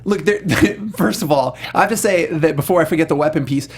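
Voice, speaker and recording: Male review-show host, slightly high voice, casual discussion style, keen and quick to agree and praise. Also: husky. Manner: enthusiastic, agreeable, gushing. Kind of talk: casual panel discussion of video game films.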